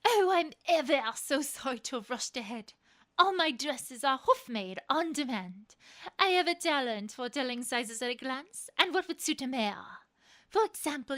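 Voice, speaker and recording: A woman's voice: high voice, a bit bright. A woman voicing a gushing, pompous aristocratic pony dressmaker in a fan-made audio story, with genteel, effusive sales patter. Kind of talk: fan fiction audio drama character acting.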